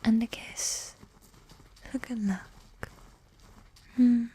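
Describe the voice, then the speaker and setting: Woman doing an evil warrior queen for asmr roleplay, soft breathy half whisper, mellow and slow, regal, possessive and condescending but doting. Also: on the high side, steady tone, a little hiss or hum. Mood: composed, affectionate. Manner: slow, regal, formal phrasing with sighs.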